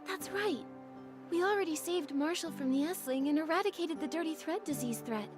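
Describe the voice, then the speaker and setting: Steadfast young game sidekick: high voice, encouraging, optimistic, music underneath. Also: female voice, expressive, fairly quick. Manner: encouraging, earnest. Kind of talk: video game dialogue acting.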